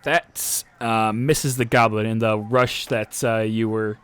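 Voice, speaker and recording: A man's voice: lowish voice, fairly even intonation, takes it slowly, a bit bright, clean tone, no room sound. A young man narrating as dungeon master, hesitant, with frequent uh and restarts. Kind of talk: narrating a tabletop game.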